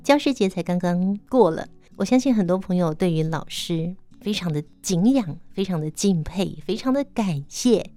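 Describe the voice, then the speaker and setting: Mellow, low-pitched Taiwanese woman radio host. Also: expressive, moderate pace, hardly any pauses, no echo, faint music behind. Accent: Taiwanese Mandarin. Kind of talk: radio interviewing.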